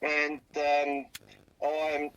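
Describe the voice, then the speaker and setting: An Australian man from South Australia, speaking over a phone on speaker and describing what he saw in a halting way, often repeating his words. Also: fairly high pitch, monotone, a bit bright, smooth, lo-fi sound. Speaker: interviewee, eyewitness. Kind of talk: phone interview.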